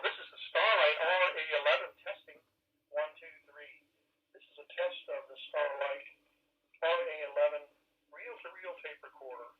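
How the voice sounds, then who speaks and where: A man making a test announcement, heard played back from an old 1960s reel-to-reel recorder through a crystal microphone. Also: fairly high pitch. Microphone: vintage crystal microphone. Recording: tinny, distant 1960s reel-to-reel tape playback.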